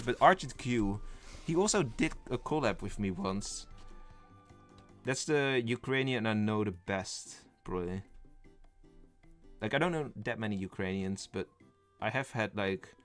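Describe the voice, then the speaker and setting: A man's voice: mid-range voice, a bit bright. Young Dutch-native male streamer, mid-range voice, loose rambling delivery, faint music underneath. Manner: rambling, thinks aloud, reads chat and lists. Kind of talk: casual live-stream chat.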